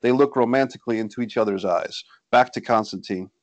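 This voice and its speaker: A man's voice: mid-range voice, brisk, dry recording. A man reading stage directions as scripted narration at a screenplay table read, recorded indoors over a video call.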